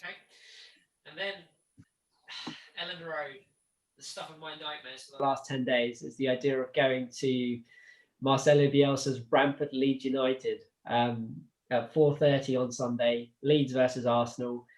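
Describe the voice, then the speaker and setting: A man hosting a casual football chat, relaxed and chatty. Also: medium pitch, a little slow, rough recording. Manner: relaxed, chatty, sighs and laughs. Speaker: podcast host.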